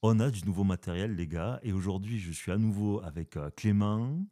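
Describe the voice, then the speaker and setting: A man's voice: fairly low pitch, a little fast, dark tone. A man with a southern French accent hosting a casual philosophy podcast, hesitant with many fillers and playful asides. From southern France. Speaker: podcast host.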